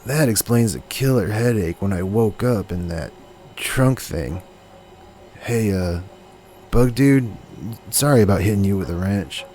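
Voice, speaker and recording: Young stoner dude acted by a man, low but swinging pitch, slangy, easily amazed, audio comedy, faint ambience. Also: animated, a little slow, dark tone, soft background music. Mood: casual. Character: laid-back, dim, excitable young stoner human guy. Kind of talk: comedic audio drama acting.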